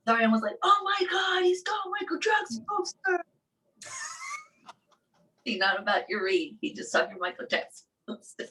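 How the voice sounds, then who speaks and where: A woman's voice: fairly high pitch, very animated, normal speed, pauses often, sharp tone. A woman chatting casually and teasingly on a video call, picked up by a distant microphone. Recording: distant microphone, faint background noise.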